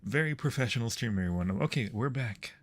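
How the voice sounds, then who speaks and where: A man's voice: mid-range voice, expressive, fast talker, a little hiss or hum. A man hosting a livestream, chatting casually with his viewers while playing games. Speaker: livestream host.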